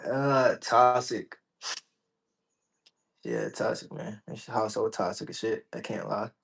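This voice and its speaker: A man's voice: on the low side, slow, creaky, fairly bright tone, breathy edge. A man from Georgia in the United States, chatting casually as an interview guest, blunt and slangy with plenty of swearing. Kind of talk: casual chat.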